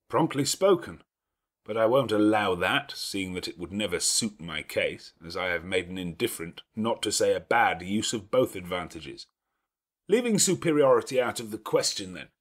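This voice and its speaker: Imperious, brooding master of the house played by a male narrator: low-pitched, emphatic, self-reproaching, classic-novel dialogue. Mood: brusque, commanding. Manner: emphatic, commanding, declamatory.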